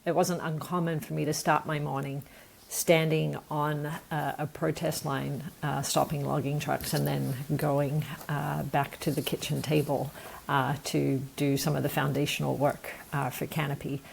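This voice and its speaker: An Australian woman with a low voice and a gravelly edge speaks as an interview guest. She tells her story in an earnest, thoughtful way, with a faint hum of room noise behind her. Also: level tone, unhurried, low-quality recording. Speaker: nonprofit founder, podcast guest. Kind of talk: conversational interview answer.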